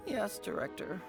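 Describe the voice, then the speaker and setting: High-pitched, flustered underling. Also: female voice, creaky, exaggerated voice. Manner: hesitant, stammering, deferential. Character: meek, flustered funeral parlor employee, long-suffering. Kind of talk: English game voice acting. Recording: game music playing behind.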